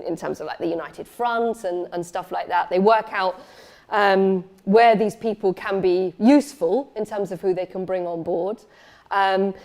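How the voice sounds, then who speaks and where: A woman's voice: on the low side, dark tone. A woman giving a lecture, talking loosely with many fillers and restarts. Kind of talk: memorial lecture with questions.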